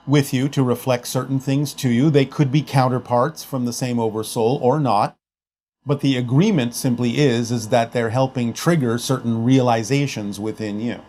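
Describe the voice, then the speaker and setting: A man speaking as a channeled spirit guide, answering audience questions in a measured, formal manner and calling himself "we". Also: medium pitch, fairly even intonation, a little mellow, natural voice. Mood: patient. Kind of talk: spoken Q&A session.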